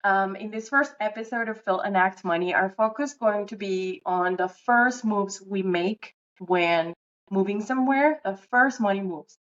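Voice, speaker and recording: A woman in her thirties, from Venezuela, co-hosts a podcast in a relaxed, explanatory way. Her voice sits at a middle pitch. The recording sounds a little dull and lo-fi. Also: normal speed. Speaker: podcast co-host. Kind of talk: casual podcast chat.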